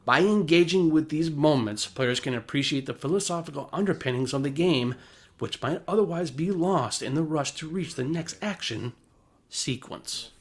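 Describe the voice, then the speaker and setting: A man's voice: a little high, animated, normal speed. A man reading scripted video essay narration in a polished, formal, explanatory way.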